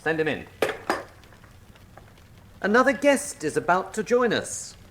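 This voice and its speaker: A man's voice: high voice, big pitch swings, measured pace, a bit bright, full character voice. An actor playing a callous, curt colonel, a villain's henchman, in a radio drama. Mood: haughty.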